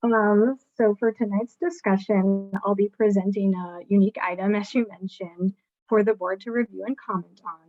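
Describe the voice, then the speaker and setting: Soft-voiced woman planner on a muffled call. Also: mid-range voice, no echo. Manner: measured, formal. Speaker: city planner. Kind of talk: presenting a staff report. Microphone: video call. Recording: muffled video call audio.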